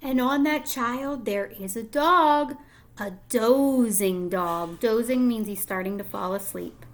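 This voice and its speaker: A woman with a fairly high voice reads aloud as a teacher to young children. She speaks very slowly and deliberately, with expressive phrasing, and prompts her listeners with simple questions. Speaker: teacher reading a picture book aloud.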